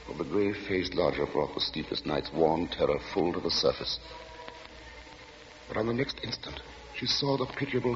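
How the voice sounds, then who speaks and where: An actor narrating a 1940s radio drama as a grave, deliberate storyteller of a dark suspense tale. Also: male voice, low-pitched. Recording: noisy, muffled 1940 radio recording.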